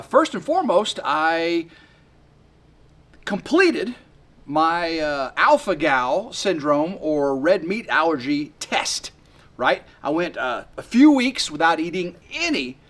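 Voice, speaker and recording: Man, mid-fifties, homestead vlogger. Lively, rambling, a bit high. Outdoor delivery. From West Tennessee. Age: 50s. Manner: rambling and excitable, many fillers and restarts. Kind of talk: rambling casual vlog to camera. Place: outdoors by a work shed on a sunny day.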